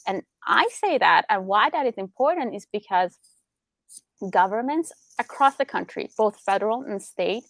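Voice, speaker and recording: Animated woman attorney, dry sound. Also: medium pitch, moderate pace, no room sound. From Iran. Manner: animated, explains with rhetorical questions. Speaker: business attorney guest. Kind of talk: casual interview chat.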